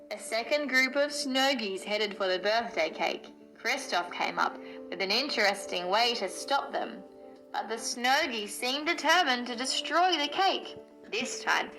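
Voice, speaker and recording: A woman narrating a children's storybook aloud in an expressive storytelling way. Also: fairly high pitch, poor audio. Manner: expressive storytelling, acts out dialogue.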